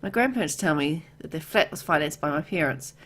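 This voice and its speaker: Low-voiced woman reading a game story aloud. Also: lively intonation, brisk, a bit bright. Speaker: gamer reading a visual novel aloud. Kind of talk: let's play narration, reading game text aloud with casual asides.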